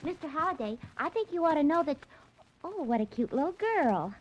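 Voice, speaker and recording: High girlish naive radio secretary. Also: big pitch swings, a little fast. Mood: guileless surprise. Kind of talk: 1940s radio comedy-drama acting. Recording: muffled old radio recording.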